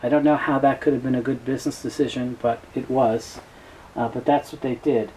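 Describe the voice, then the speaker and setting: Man, soft dark tone, halting conversational presenter, informal explainer, noticeable background noise. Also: medium pitch, steady tone, rough recording. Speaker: presenter and tape seller. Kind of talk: informal explainer talk on video.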